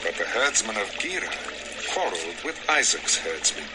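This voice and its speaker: A man narrating a dramatized scripture recording in a measured, expressive storytelling manner. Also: on the low side, heavy background noise. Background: music.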